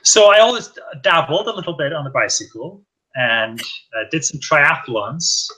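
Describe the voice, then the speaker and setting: A man's voice: a little high, expressive, unhurried, sharp tone, poor audio. A man, chatting in an upbeat, friendly way as a guest on an interview show. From northwest Wales. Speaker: guest, endurance cyclist. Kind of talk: casual interview chat.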